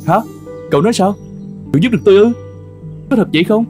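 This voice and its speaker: A man's voice: high-pitched, animated, fast talker, a little mellow. A man voicing a weary old horse in a cartoon folk tale, plaintive and resigned. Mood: astonished, hopeful. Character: weary, despondent old male horse, loyal and cast out. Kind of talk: animated folk tale dialogue. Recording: music under the voice.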